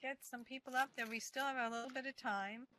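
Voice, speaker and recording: A woman leading a reading session, brisk and encouraging. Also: high-pitched, fairly even intonation, pushed and bright. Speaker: session leader. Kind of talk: casual chat. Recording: noisy recording.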